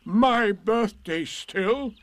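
A man voicing a gloomy, sardonic old donkey from a children's storybook, with a drawn-out, lugubrious delivery. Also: high-pitched, no room sound, pitched above the performer's own voice. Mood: incredulous, doleful. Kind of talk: children's storybook character reading.

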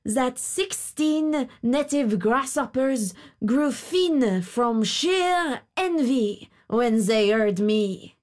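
A woman voicing a boastful, well-mannered grasshopper in a storybook, with vain, drawn-out bragging. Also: on the high side, expressive, darkish tone. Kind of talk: storybook character voice.

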